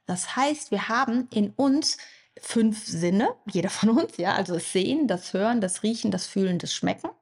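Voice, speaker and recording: A woman with a soft, breathy voice talks straight to the listener as a hypnosis coach. She explains in an easy, chatty flow and often slips into voicing the listener's own doubts and thoughts. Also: mid-range voice. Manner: warm explanatory, addresses listener directly, acts out inner thoughts. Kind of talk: podcast monologue.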